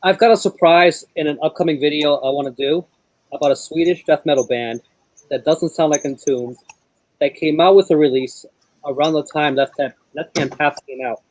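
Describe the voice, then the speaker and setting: A man's voice: fairly high pitch, moderate pace, a little mellow, no echo, poor audio. A man chatting casually with friends as a fellow video maker. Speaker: video maker in a group chat. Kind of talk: casual chat.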